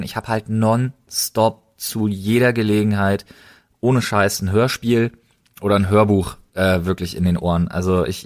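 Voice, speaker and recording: A young man co-hosting a casual podcast, speaking in slang and repeating words for emphasis. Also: fairly low pitch. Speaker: podcast co-host.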